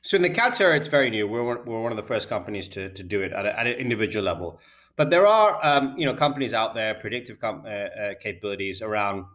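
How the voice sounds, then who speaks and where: A British man speaks quickly in a somewhat low voice, tumbling through his answers with repeated words and restarts. He sounds like a founder in his thirties being interviewed. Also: expressive, a bit bright. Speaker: healthcare data company founder.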